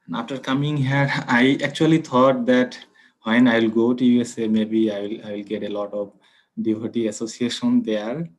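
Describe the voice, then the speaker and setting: A man's voice: medium pitch, level tone, normal speed, low-quality recording. Hesitant Bangladeshi young man, video call. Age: young adult. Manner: hesitant, many fillers. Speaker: guest introducing himself. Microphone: laptop on video call.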